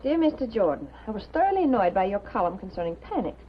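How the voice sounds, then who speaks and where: An actress playing a sharp-tongued, worried newspaper colleague, prim and insistent, in a 1950s television drama. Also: female voice, medium pitch, sing-song, quick pace, close to an everyday voice. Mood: reading aloud. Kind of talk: 1950s American TV drama acting. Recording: muffled, noisy 1950s television soundtrack.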